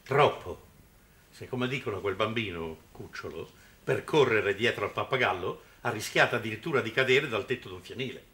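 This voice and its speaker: Stern, animated older priest. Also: male voice, on the low side. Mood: sceptical. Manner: formal, measured, reproving. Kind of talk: 1960s television drama acting.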